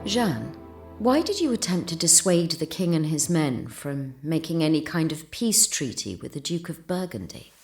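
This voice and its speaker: A stern interrogator in a historical audio drama. The voice is low and animated, putting formal, probing questions. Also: female voice, normal speed, faint music behind.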